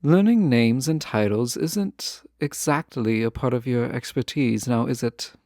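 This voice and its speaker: A man playing a refined, guarded yet fond fantasy ruler in a romantic audio roleplay, speaking in formal, measured phrases with teasing asides. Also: medium pitch, a little mellow, dry recording, studio quality, natural voice. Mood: reflective, wry.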